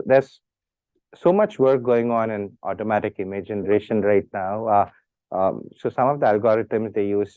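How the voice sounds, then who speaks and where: Man, halting speech, muffled audio. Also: lowish voice, no room sound. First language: Tamil. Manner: hesitant, many fillers and restarts. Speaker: speaker at an institute talk. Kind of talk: talk with audience questions.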